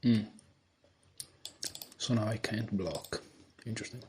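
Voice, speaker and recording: A man with a deep voice talking quietly through his moves as he plays an online chess game. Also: takes it slowly, a little hiss or hum. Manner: quiet, low-voiced thinking aloud. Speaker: chess streamer. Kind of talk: live game commentary.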